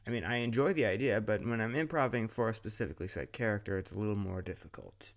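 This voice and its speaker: A man's voice: fairly low pitch, fast talker. A man talking casually to himself as a voice actor between recording takes. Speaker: audio drama producer and voice actor.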